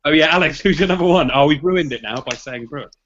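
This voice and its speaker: A man's voice: a little high, fast talker, fairly bright tone, highs cut off, a little hiss or hum. A man hosting a casual podcast chat, laughing often and hedging his points. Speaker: podcast host. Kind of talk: casual chat about boxing rankings.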